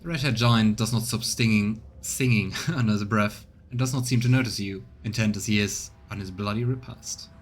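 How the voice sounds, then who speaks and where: A man's voice: lowish voice, moderate pace, few pauses. A man doing a casual game stream, reading narration aloud and musing between lines. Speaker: let's play streamer. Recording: noticeable background noise.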